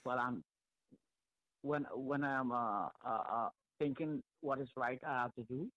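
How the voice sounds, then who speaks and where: A man with an Arabic accent calling in to a radio talk show, speaking haltingly and repeating himself as he searches for words. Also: mid-range voice, a bit bright, no room sound. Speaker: caller. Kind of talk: phone-in call. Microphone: phone. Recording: muffled phone line.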